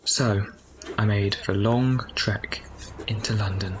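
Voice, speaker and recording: A man playing a reflective narrator who looks back on his youth in an audio drama, speaking in a measured way. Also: on the low side, steady tone, slight background noise. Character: older Oliver looking back as narrator, reflective.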